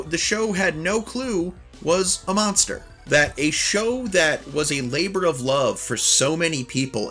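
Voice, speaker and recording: A man's voice: a little high, sharp tone. A man hosting a video, reading a scripted commentary in a nasal voice as he recovers from the flu, with earnest, opinionated asides. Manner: earnest, opinionated asides between read passages. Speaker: YouTube host.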